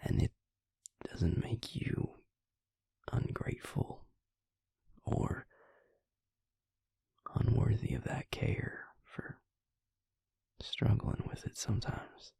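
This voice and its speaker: A man playing a gentle, devoted vampire lover in an intimate roleplay audio, speaking tenderly and haltingly in a breathy, gravelly near-whisper. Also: lowish voice, lively intonation, no room sound, studio quality, heavily acted. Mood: tender, reassuring. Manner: slow, tender, halting delivery.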